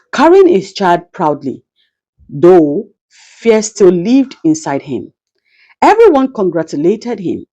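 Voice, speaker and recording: A woman's voice: lowish voice, dark tone. A woman narrating a folktale as a scripted, dramatic storyteller who speaks straight to her listeners. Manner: addresses listeners directly, proverbial.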